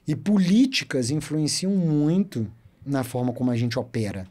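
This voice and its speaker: A man in his thirties with a Minas Gerais accent, a podcast guest telling his story in an animated, talkative way. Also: medium pitch, moderate pace. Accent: Minas Gerais Portuguese. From Brazil. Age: 30s. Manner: chatty, animated storyteller who loves to talk. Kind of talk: casual storytelling interview.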